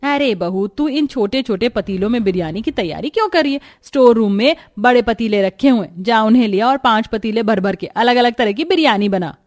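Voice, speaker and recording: A woman's voice: on the high side, expressive, brisk, hardly any pauses, darkish tone, lightly acted. A woman voicing a bossy, food-obsessed middle-aged mother-in-law in a Hindi cartoon story, commanding and insistent.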